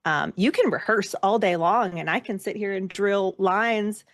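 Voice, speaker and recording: A woman speaking casually as an interview guest, reflective and self-deprecating, with an animated way of talking. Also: medium pitch, unhurried. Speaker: guest, actor and performer.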